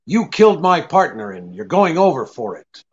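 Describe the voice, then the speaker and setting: An actor playing a cynical, unyielding private detective in a 1940s-style radio drama, with terse, halting tough talk. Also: male voice, fairly high pitch, sing-song, dull audio. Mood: bitter, firm.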